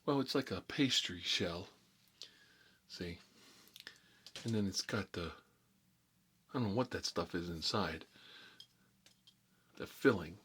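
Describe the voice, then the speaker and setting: A man's voice: low-pitched, lively intonation, a bit bright, breathy edge, heavily acted. A man playing a boastful Italian-American mob boss in an improvised ASMR role-play, rambling and muttering between bites of food. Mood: chatty, explaining. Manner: rambling, halting, muttering, talking with food in his mouth. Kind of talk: improvised ASMR character role-play, eating while talking.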